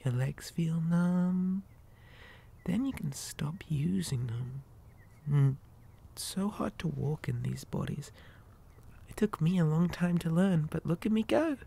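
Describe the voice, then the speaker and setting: A possessive living doll acted by a woman whispers in an ASMR horror roleplay. The voice is low and dark, with a creaky edge. It coaxes softly and hesitantly, with a gentle, childlike menace. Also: animated, exaggerated voice. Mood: eerily coy. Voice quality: breathy whisper. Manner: soft, coaxing, slow and hesitant.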